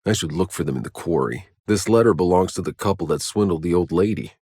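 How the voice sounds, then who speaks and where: Gruff, sardonic game mercenary: low register, terse and wry delivery, dry studio sound. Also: male voice, animated, quick pace, a little mellow, no echo, full character voice. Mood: sober, matter-of-fact. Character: gruff, sardonic male mercenary survivor on a hostile planet. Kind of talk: video game voice lines.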